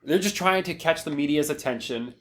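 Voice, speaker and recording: A man's voice: fairly high pitch, a little fast, fairly bright tone, slight background noise. A man chatting informally as a podcast guest, blunt and slangy in the way he talks. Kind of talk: casual chat.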